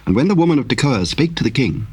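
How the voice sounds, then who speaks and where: A man with a husky voice narrating scripture in a solemn, formal storytelling style with archaic language. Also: medium pitch, expressive, fast, darkish tone, slight background noise.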